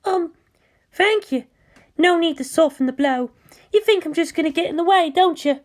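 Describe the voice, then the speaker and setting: A woman's voice: very high voice, moderate pace, pitched above the performer's own voice. A woman voicing a nervous, polite, self-doubting teenage girl mecha pilot in a story, with a hesitant manner. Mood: shy, doubtful. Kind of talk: acted story dialogue.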